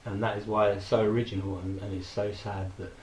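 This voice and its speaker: A man in his forties, hoarse from a throat infection, casually rambling and reminiscing with frequent fillers and laughs. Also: lowish voice, flat delivery, fairly quick, reverberant, a little hiss or hum. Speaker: vlogger. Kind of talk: casual personal tribute monologue.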